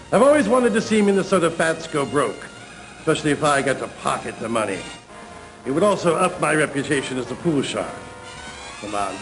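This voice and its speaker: An actor playing a smug, envious pool shark in a video game cutscene, with showy, drawling taunts. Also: male voice, mid-range voice, a bit bright, low-quality recording.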